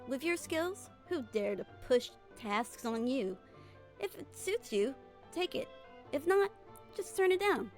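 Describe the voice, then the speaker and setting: A woman voicing a confident, easygoing young female mercenary in game dialogue, with breezy, informal persuasion. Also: high voice. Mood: encouraging. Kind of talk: fan-dub game character dialogue. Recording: music behind the voice.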